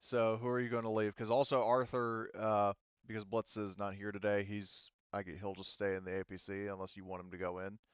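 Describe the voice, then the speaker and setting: A man running a tabletop roleplaying game as game master in casual talk, thinking aloud with many pauses. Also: lowish voice, level tone, clean tone, dry recording, dull audio.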